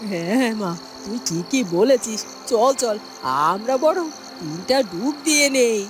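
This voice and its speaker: Woman as a bossy, swooping high-voiced stepmother. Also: lively intonation, measured pace, over music. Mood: scheming, eager. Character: greedy, scheming middle-aged stepmother. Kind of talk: fairy tale cartoon acting.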